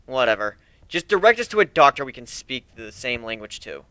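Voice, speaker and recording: A man's voice: mid-range voice, big pitch swings, brisk, bright, forceful tone, no echo. A man voicing a brash, sarcastic, taunting and exuberant male griffin pirate captain in a dramatic story reading. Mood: scornful.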